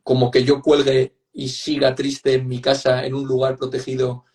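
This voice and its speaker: A man's voice: mid-range voice, monotone, normal speed, darkish tone. A man with an accent from Spain, explaining things conversationally and emphatically as a guest psychotherapist, often checking for agreement. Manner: emphatic, repeats points, seeks agreement with tag questions. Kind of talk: conversational expert explanation in an online interview.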